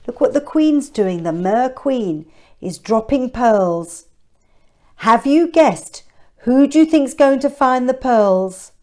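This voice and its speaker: A woman's voice: fairly high pitch, animated, slow pace, darkish tone. A woman reading a children's story aloud expressively, with chatty questions and asides for young listeners.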